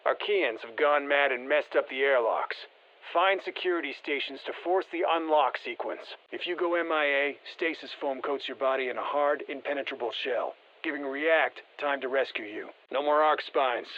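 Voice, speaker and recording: A man's voice: mid-range voice, normal speed, highs cut off. An actor playing a confident, folksy male soldier in a video game, giving colloquial, clipped tactical briefings through a radio filter. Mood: steady, matter-of-fact. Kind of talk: scripted game voicelines, mission briefing.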